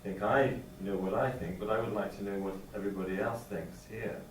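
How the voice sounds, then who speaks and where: A man's voice: fairly low pitch, steady tone, a little fast. A man putting thoughtful questions to the people at a meeting. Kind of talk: unscripted remarks at a meeting. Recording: echoey hall, distant mic, faint hiss.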